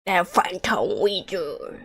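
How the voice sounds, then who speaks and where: Slow-paced woman streaming a game. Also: on the low side, big pitch swings, slightly rough. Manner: joking, sarcastic, swearing. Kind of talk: casual livestream commentary on a game.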